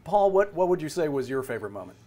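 A man's voice: on the high side, big pitch swings, quick pace, dark tone. An older man casually hosting an interview over a video call, putting questions to guests. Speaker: interview host. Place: small room with pictures on wall. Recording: faint ambient noise on video call.

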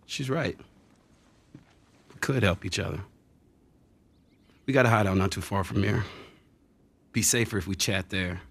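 Low-voiced, streetwise young American survivor. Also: male voice, measured pace, gravelly, long pauses. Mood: friendly. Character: wary, protective young man surviving with his kid brother. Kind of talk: video game drama dialogue.

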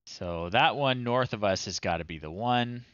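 A middle-aged man giving casual, low-key live commentary as he plays retro games, often muttering to himself. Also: normal speed, a bit bright, no room sound, lo-fi sound. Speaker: livestream host playing retro games. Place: small room at home, indoors.

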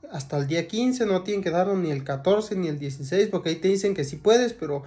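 A man's voice: sits high, animated, fast, warm tone. A young man with a Mexican accent, talking casually to camera with many fillers and restarts. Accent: Mexican Spanish. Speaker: vlogger. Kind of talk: casual vlog talk to camera.

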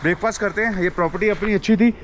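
A young man casually narrating a travel vlog outdoors while riding a motorbike, chatty and excited. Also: sits high, racing, a little hiss or hum. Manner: chatty and excited, keeps saying "yaar". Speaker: travel vlogger. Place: outdoors, riding a motorbike through a mountain valley.

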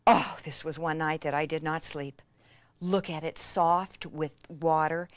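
A woman giving an enthusiastic hands-on craft demonstration, gushing and breathlessly excitable, with hushed asides. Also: deep voice, measured pace, a little hiss or hum. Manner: gushing, breathlessly excited, with frequent gasps and exclamations.

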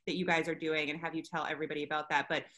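A woman's voice: low voice, level tone, pushed and bright. A young woman hosting a casual video-call interview from outdoors in a park, upbeat and enthusiastic, often restarting her sentences. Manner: bubbly, enthusiastic, quick restarts. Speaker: interview host. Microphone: video call webcam.

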